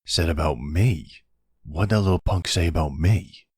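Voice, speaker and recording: Mafia boss, male performer: middle-aged silver fox, husky low register, streetwise and fatherly, firm but protective, roleplay audio drama. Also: a little mellow, no echo, clean studio sound. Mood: incredulous. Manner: slow, measured, fatherly, streetwise.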